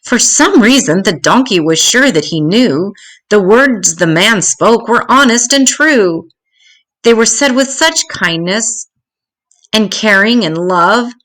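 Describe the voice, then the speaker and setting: An older woman reading a rhyming children's story aloud in an expressive, dramatic, deliberate way. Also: medium pitch.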